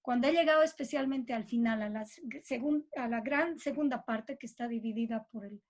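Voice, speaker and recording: A middle-aged Bolivian woman with a Bolivian accent talks reflectively and informally as an interviewed composer, thinking aloud in an expressive way. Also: on the high side, fairly quick.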